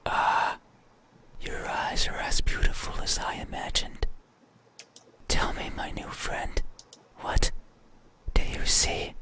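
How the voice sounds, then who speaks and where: A performer voicing an eerie, mysterious being in a horror game, menacing yet sorrowful, speaking in a breathy, halting, insistent whisper. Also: close to an everyday voice. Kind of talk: horror visual novel voice acting.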